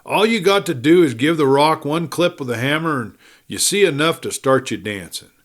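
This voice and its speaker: Man voicing a smooth-talking, pushy western prospector. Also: a little high, animated, moderate pace, pitched above the performer's own voice, natural voice. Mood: enthusiastic. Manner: rustic, direct, persuasive. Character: smooth, pushy prospector in his prime, eager to persuade. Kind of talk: western audiobook character acting.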